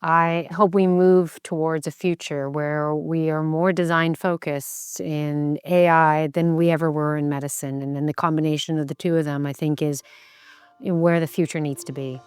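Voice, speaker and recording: Low, very smooth-voiced woman hosting. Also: steady tone, normal speed, no echo. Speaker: podcast host and interviewer. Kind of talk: conversational interview hosting.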